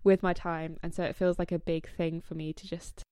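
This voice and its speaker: A woman's voice: fairly low pitch, flat delivery, fairly quick, warm tone, clear, resonant tone, no room sound. A woman co-host chats casually in an upbeat, encouraging way and giggles often. Her voice sounds close and dry. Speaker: podcast co-host. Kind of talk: casual chat.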